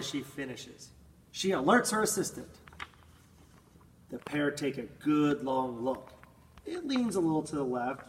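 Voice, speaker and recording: A man reading a children's picture book aloud in an expressive, dramatic manner, drawing out words and swelling with emphasis. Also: a little high. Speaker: storybook reader. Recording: echoey room, distant mic, faint noise.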